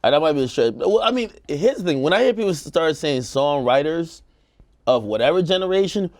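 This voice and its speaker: A man's voice: a little high, lively intonation, brisk, darkish tone, no echo. A man co-hosting a casual music discussion show, hedging and qualifying his opinions as he talks. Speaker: talk show co-host.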